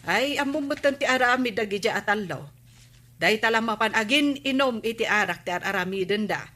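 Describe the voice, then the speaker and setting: An actress with an Ilocano accent playing a suspicious, bossy village wife in a radio comedy drama, scolding with emphasis. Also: female voice, a little high, expressive, moderate pace, bright, forceful tone.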